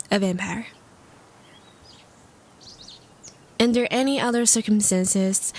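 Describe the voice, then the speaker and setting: Woman playing a slow-spoken, courtly lovestruck vampire. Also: mid-range voice, lightly acted. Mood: flustered, courteous. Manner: formal old-fashioned wording, hesitant, earnest. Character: polite, lovestruck ancient female vampire, courtly and pleading. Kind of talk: scripted roleplay audio drama, intimate monologue.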